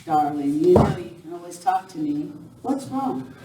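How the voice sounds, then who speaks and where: An amateur actress playing a concerned, doting rancher's wife in a live radio western. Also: female voice, on the low side, very animated, normal speed. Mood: concerned, tender. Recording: echoey, distant, faint noise.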